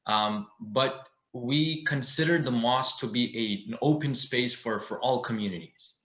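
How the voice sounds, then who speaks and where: Bright-voiced man, roomy dull audio. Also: mid-range voice, moderate pace. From Canada. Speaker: imam, interview guest.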